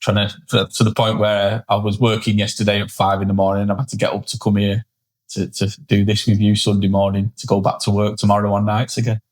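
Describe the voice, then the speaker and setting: Man, low voice, tired and blunt, casual podcast co-host who swears and mocks himself. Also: completely flat delivery, brisk. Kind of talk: casual chat. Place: indoor studio setup, seated.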